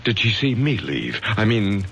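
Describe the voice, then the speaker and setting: A man's voice: lowish voice, very animated, a little slow, gravelly, a bit bright, heavily acted. An actor playing a stern middle-aged father, a college professor who takes charge in firm, clipped lines, in a vintage radio drama. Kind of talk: 1970s radio drama acting. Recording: dull vintage radio recording with faint hum.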